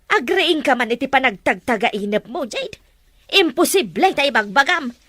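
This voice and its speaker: A woman's voice: high-pitched, animated, normal speed, fairly bright tone, no echo, studio quality. A woman playing a protective, disapproving mother in a drama, with a firm, scolding manner. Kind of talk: Ilocano radio-style drama acting.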